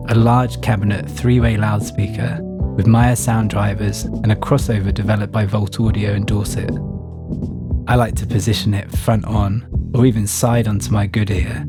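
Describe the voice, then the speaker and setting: A man's voice: lowish voice, little pitch movement, moderate pace. A man giving a scripted first-person narration, telling his own story. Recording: music playing behind the voice. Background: music.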